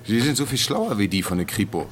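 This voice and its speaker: An actor playing a gruff, condescending police superior, sneering and colloquial, in a radio drama. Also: male voice, fairly low pitch, very animated, fast. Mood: sarcastic, condescending.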